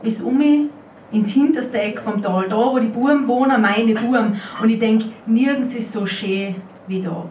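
A woman with a fairly high voice recites spoken word in Austrian dialect, in the role of an old country mother. She is recorded live in an echoey room, some way from the microphone. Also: normal speed. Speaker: poetry slam performer. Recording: roomy, distant, muffled live recording, faint noise.

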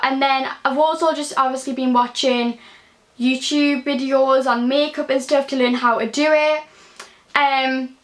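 High-voiced Geordie teen girl vlogging. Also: a bit bright. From Newcastle, England. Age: early teens. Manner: chatty, many ums and likes, gestures while talking. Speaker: beauty vlogger. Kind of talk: casual Q&A vlog. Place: small bedroom indoors. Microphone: phone camera at close range.

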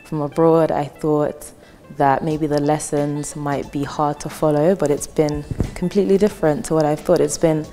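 Young woman, low dark voice, chatty, lapel mic, reverberant hall, faint music. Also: flat delivery, normal speed. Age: 20s. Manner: casual, chatty with fillers. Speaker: student. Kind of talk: testimonial interview. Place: indoor hall with wooden wall.

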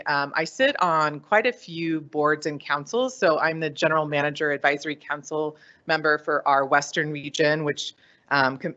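A woman's voice: low voice, sharp tone. A woman hotel general manager speaking on a panel in a conference room, listing her duties with many fillers. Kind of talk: panel discussion. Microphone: handheld microphone. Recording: dull lo-fi sound.

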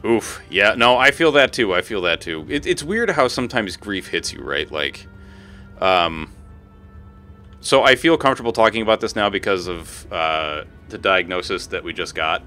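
A man's voice: deep voice, animated, moderate pace, sharp tone. A man in his thirties chatting casually on a gaming livestream, informal and slangy with frequent swearing. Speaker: game streamer. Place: home room. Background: music.

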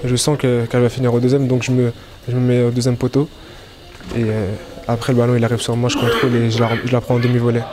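A man, a footballer, quickly recounting how he scored, with halting restarts. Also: mid-range voice, monotone. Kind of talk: describing his goal. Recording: distant voice with loud background noise.

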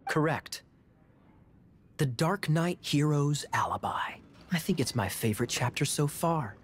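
An actor playing a smug, playful young man who teases in an unhurried, theatrical way in a video game. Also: fairly high pitch, expressive, slight background noise. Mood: sly amusement.

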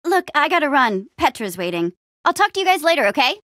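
An upbeat young female adventurer from a video game. Her voice sits fairly high and swings expressively through its range, recorded close and dry. She talks in a friendly, casual, quippy way, like a small-town hero. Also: very animated, fairly quick, a bit bright, no room sound. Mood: cheerful, friendly. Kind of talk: video game character acting.

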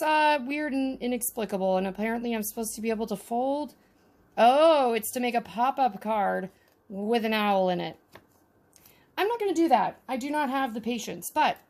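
A woman's voice: a little high, gravelly, darkish tone. A woman casually narrating a miniature unboxing with chatty, wry asides. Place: indoors at a tabletop.

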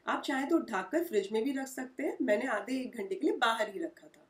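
A woman's voice: on the high side, lively intonation, a little fast, far from the mic. A woman, hosting a home cooking tutorial and explaining each step in a homely way, recorded in her kitchen. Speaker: cooking channel host.

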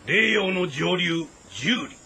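A man's voice: high-pitched, lively intonation, takes it slowly, sharp tone, exaggerated voice. An actor playing an urgent, deferential retainer reporting to his lord in an audio drama. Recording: clearly noisy background.

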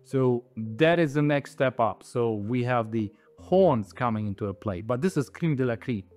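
A man in his thirties or forties, an audio designer, explaining products to camera on a lapel mic in a halting, emphatic way. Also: medium pitch, expressive, a little mellow. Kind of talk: explaining products to camera, semi-scripted talk. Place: indoor audio showroom. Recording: music playing behind the voice. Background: music.

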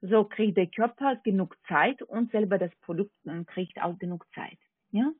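A non-native speaking woman with a foreign accent, working as a call center agent, giving a halting, repetitive, polite sales pitch over the phone. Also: husky, dry recording. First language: not German. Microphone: telephone. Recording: tinny, narrowband phone line.

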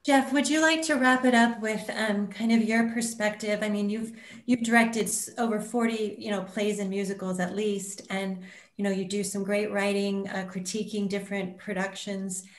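A middle-aged woman with a mid-pitched voice moderates a casual discussion on a video call. Her audio has a clear roomy echo. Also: steady tone, normal speed, few pauses. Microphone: video call computer mic.

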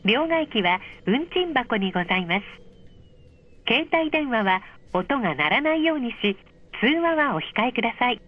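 A woman reading a polite, measured scripted announcement over a train's speakers, clearly enunciated. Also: a little high, sing-song. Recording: dull, muffled train speaker recording.

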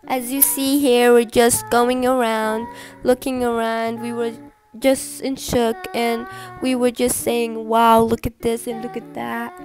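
A woman's voice: fairly high pitch, flat delivery, slow pace, a little mellow. A young woman from Malaysia narrating a casual travel vlog, chatty and enthusiastic with frequent fillers. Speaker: vlogger. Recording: music playing underneath.

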